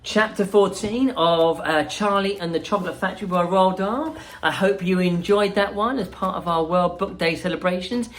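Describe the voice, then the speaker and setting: A woman reading a children's story aloud as a school principal, expressively and with deliberate dramatic emphasis. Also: on the low side, soft background music.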